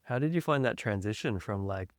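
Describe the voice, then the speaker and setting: A man's voice: lowish voice, animated, a little fast, dry recording, clean studio sound. A man from Australia, hosting a podcast, asking questions in a friendly, upbeat way. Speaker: podcast host. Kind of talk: casual interview hosting.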